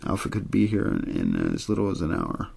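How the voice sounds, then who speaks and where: Storybook police captain read by a man: low, gravelly, firm, businesslike, used to giving orders. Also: wildly swooping pitch, brisk, warm tone, exaggerated voice. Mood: commanding. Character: male police team captain, businesslike and commanding.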